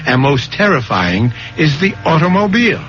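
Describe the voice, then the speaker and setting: Male announcer: dramatic public-service read, raised pitch, music clearly behind, dull vintage radio sound. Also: sing-song, normal speed. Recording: dull old radio recording with loud music.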